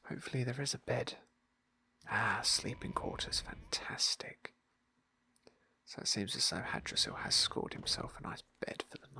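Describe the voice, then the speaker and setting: Breathy, hushed man narrating ASMR gameplay. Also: medium pitch. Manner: breathy, hushed, often dropping into whisper. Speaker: ASMR gaming video host and roleplayer. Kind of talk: soft-spoken ASMR roleplay game narration. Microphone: close microphone, dry.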